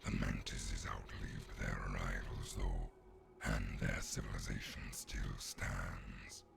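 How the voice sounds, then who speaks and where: A man voicing an ancient, solemn monstrous chronicler in a game, with a gravelly growl. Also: very deep voice, level tone, slow, exaggerated voice. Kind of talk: slow dramatic game voice-over.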